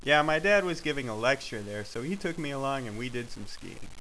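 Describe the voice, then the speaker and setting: A man voicing a laconic, indifferent male friend in a comic story, terse and deadpan. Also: medium pitch, fairly quick, voice pushed down, rough recording. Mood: casual. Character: Yumbly, a laconic, indifferent, beer-sipping male chatroom buddy.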